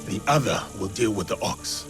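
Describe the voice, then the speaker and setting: An actor playing a steadfast, gentle warrior man in a video game, speaking in a measured, earnest way. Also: on the low side, close to an everyday voice. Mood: solemn, calm. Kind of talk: cinematic video game acting. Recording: background music under the voice.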